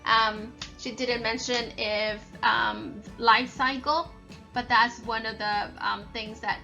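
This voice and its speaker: A woman's voice: fairly high pitch, fairly even intonation, slow, creaky, pushed and bright, soft background music. A woman reading a children's story aloud and explaining it like a teacher.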